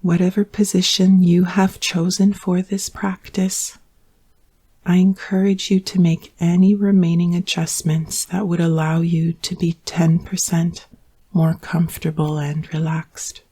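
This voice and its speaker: Female meditation guide, mellow and dark in tone, steady and even in pitch, soothing, unhurried delivery. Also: husky. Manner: calm, soothing, unhurried instruction with long pauses. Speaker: yoga nidra meditation guide.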